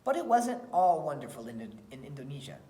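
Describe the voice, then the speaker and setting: A woman reading a children's picture book aloud in an expressive storytelling style. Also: low-pitched, brisk. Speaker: read-aloud reader. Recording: roomy, echoey sound.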